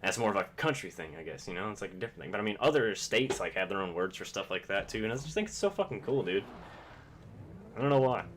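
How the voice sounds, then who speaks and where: A man's voice: on the low side, sing-song, fast, slight background noise. A young man from Kentucky, chatting casually and rambling with plenty of swearing while streaming a game. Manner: rambling, profane, laid-back.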